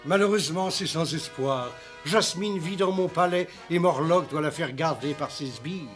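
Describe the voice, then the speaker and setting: A man plays a young cartoon prince turned into a toad. He is earnest and lovesick, with a notably high voice. Music runs clearly behind him. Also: moderate pace, lightly acted. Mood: wistful. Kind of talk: French animated cartoon dialogue.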